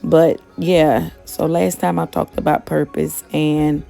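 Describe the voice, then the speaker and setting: A woman giving a casual motivational talk in a monotone, conversational way with many fillers. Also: deep voice, slow pace, gravelly, faint music behind. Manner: monotone, self-described. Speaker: motivational vlogger and gig worker.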